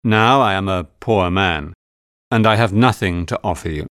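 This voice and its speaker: A courteous, reserved English gentleman in a storybook, acted by a man. He speaks fairly low, with grave sincerity. The sound is close and dry. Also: expressive, measured pace, no room sound. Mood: sad, resigned. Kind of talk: dramatised audiobook dialogue.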